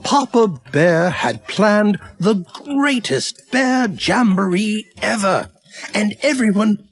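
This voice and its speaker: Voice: mid-range voice, expressive, slow pace, slight background noise. An actor playing a pompous yet patient storybook narrator in a children's cartoon, formal and dramatic in delivery. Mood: grand, playful.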